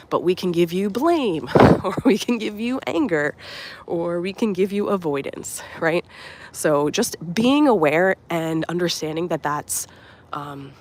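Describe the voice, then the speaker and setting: A woman's voice: fairly low pitch, slight background noise. A young woman life coach talking casually and earnestly on a live stream while walking outdoors, slightly nasal from a cold and full of fillers. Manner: warm, earnest, reflective, many fillers like "um" and "like". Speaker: life coach and channel host. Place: outdoors at a resort at sunrise.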